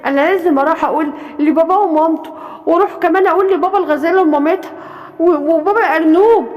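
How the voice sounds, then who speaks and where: A woman's voice: very high-pitched, fast, dull audio. Anxious young cartoon animal voiced by a woman, reverberant. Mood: worried. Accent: Egyptian. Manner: anxious, childlike. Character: worried, loyal young animal friend. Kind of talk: children's cartoon storytelling in colloquial Arabic. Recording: long hall-like reverb.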